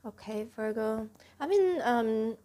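A woman's voice: on the high side, lively intonation, slow, mellow. Woman, tarot reader, slightly stuffy voice, chatty, keeps saying "okay?", indoors at home table. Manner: chatty, repeats "okay?" to viewers, stresses key words. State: slightly stuffy, clears throat. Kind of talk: casual tarot reading to camera.

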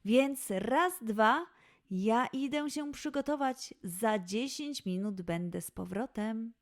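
A woman's voice: fairly high pitch, takes it slowly. A woman voicing a busy, caring lioness mother with a motherly, chivvying manner in a children's audio story. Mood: cheerful, busy.

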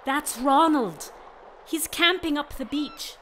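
High-voiced, bubbly young woman. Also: slow pace, fairly bright tone, slight background noise. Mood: bubbly, eager. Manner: eager, chatty. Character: bubbly, naive, friendly young female camper. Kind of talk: radio drama acting.

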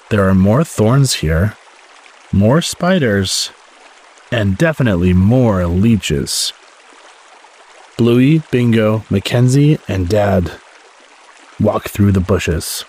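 A man narrates a children's picture book aloud. His voice sits fairly low and has a husky grain. He varies his intonation a lot, clearly telling a story to young listeners. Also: lively intonation, slow, pauses often, a little mellow. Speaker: story podcast host and reader.

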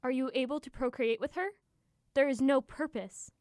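An actress playing a haughty, scornful goddess of love in an audio drama, speaking in a formal, imperious way. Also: female voice, high-pitched, steady tone, moderate pace, darkish tone, dry recording, clean studio sound, natural voice.

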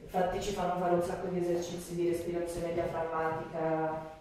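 A woman's voice: lowish voice, completely flat delivery, fairly quick, mellow, heavy reverb, slight background noise, low-quality recording. A woman in her twenties chatting informally as a patient lying in a small treatment room. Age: 20s. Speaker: patient, dubbing student. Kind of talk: casual chat.

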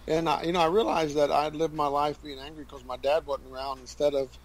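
Kentucky man in his forties, radio guest, earnest and halting with a slightly high voice. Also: flat delivery, poor audio. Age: 40s. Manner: earnest, halting, many fillers and restarts. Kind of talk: personal story in a radio interview.

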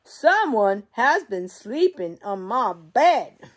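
A big father bear from a storybook, suspicious and booming as he finds things out of place, played by a woman reading aloud. His lines come slow and loud. The voice has a breathy edge. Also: sing-song, heavily acted. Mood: indignant. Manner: loud, drawn-out.

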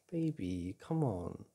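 A man plays a devoted boyfriend in an intimate audio roleplay. His low voice is soft, husky and mellow. He talks tenderly and reassuringly, as if to a partner close by. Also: exaggerated voice. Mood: gentle reassurance.

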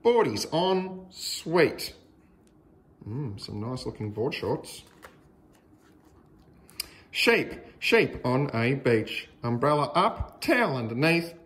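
An Australian man reading a picture book aloud to young children in a deliberate, sing-song way with exaggerated emphasis. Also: mid-range voice.

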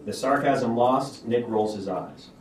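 A man's voice: medium pitch, unhurried. A man reading screenplay stage directions aloud as narrator at a table read. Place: stage of a small auditorium. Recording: roomy auditorium, distant mic, background noise.

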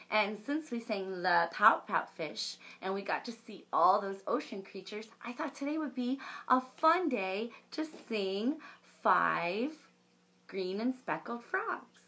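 A woman in her thirties, a children's librarian, reading a picture book and leading a sing-along for young children in a lively storytime manner. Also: fairly high pitch, unhurried. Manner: bright, animated storytime voice. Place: home room with a bookshelf backdrop.

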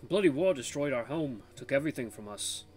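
A man's voice: medium pitch, expressive, brisk, darkish tone, soft background music, pitched above the performer's own voice, natural voice. A man voicing a hearty, folksy tiger in his fifties with a British accent, in a visual novel read-aloud. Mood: bitter. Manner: folksy, hearty, calls people lad and mate. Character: cheerful chatty tiger man in his fifties, war refugee.

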